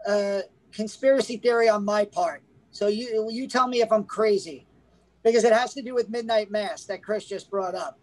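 A man's voice: high-pitched, low-quality recording. A man co-hosting a casual film review podcast, rambling with many restarts and fillers. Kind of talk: casual chat.

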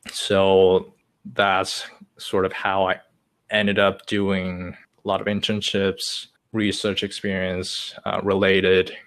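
A man's voice: low voice, completely flat delivery, takes it slowly, fairly bright tone. A man in his twenties from China, whose first language is Chinese, telling his story in casual chat with frequent hesitations. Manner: hesitant, many uh fillers, long-winded. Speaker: podcast guest.

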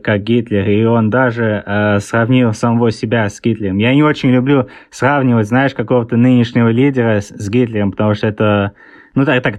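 A man in his thirties with an English-tinged Russian accent, a guest author answering interview questions in a halting way, often pausing to search for words. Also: lowish voice, darkish tone, dry recording. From born in Russia, raised in England. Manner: hesitant, searches for words, many fillers.

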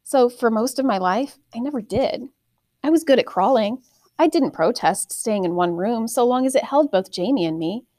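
A woman's voice: on the high side, expressive, normal speed, dark tone, no room sound. Woman reading a story aloud, dry close sound. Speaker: audiobook reader, teacher reading aloud. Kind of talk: expressive scripted storybook narration in first person.

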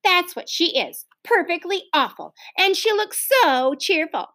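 Sulky, fed-up big sister girl, lively pitch; a woman reads her. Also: measured pace, no room sound, studio quality, heavily acted. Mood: exasperated. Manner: exasperated, sulky. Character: annoyed older sister, a girl.

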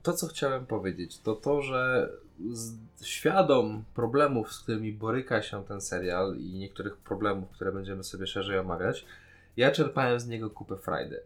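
A man's voice: lowish voice, normal speed, a bit bright. A man co-hosting a casual podcast discussion, talking in an informal, blunt way. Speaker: podcast co-host.

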